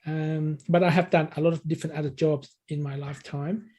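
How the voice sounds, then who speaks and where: A man's voice: fairly high pitch, little pitch movement, brisk, warm tone. A man in his sixties from Thailand, a native Thai speaker, answering interview questions conversationally and explaining things as a coach would. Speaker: interview guest, English learning coach.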